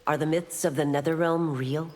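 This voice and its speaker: An actress playing a stern, principled chief constable in a fighting game, speaking formally and with authority in a reverberant space. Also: female voice, low-pitched, fairly even intonation, moderate pace, a little mellow, lightly acted. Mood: solemn, probing. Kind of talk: scripted fighting game dialogue.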